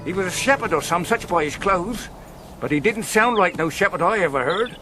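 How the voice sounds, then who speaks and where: A man's voice: high-pitched, animated, fairly quick, music in the background. An actor playing an earnest, wary village watchman in a radio drama, plain-spoken and deferential. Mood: uneasy recollection.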